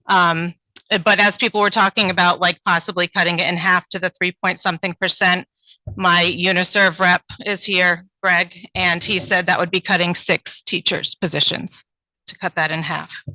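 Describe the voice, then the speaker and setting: A woman teacher makes an earnest, pleading public comment at a town meeting. Her voice sits a little low but rings bright and forceful, and the sound is dry and close. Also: fairly even intonation, moderate pace, no echo, low-quality recording. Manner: earnest, pleading, with frequent ums. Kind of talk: prepared public statement.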